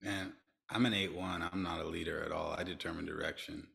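A man's voice: low voice, fairly even intonation, a little fast, vocal fry, sharp tone. A middle-aged man speaking earnestly as a podcast guest, often restarting and repeating himself. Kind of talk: reflective interview talk.

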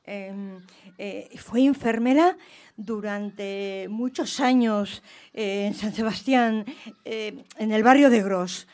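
A woman's voice: medium pitch, slow pace, darkish tone, lightly acted. A woman playing a chatty, active woman of eighty-two with a Spanish accent, a hesitant quiz caller in a radio play. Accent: Spain. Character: chatty, active 82-year-old retired nurse, nervous quiz caller. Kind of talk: radio theatre phone-in dialogue.

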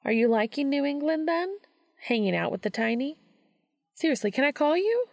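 A woman's voice: high voice, sing-song, moderate pace, no echo, studio quality, pitched above the performer's own voice. A woman voicing an eager young woman who can turn cautious and tender in an audiobook-style story reading. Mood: excited, eager.

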